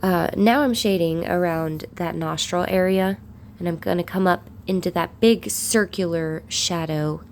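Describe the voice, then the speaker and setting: A woman with a croaky, gravelly voice from a cold talks slowly through a drawing lesson. Her tone is dark and mellow, and she narrates as she works. Faint noise sits under the recording. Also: lowish voice. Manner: unhurried, talks while shading. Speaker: art tutorial narrator. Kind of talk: relaxed drawing tutorial voice-over.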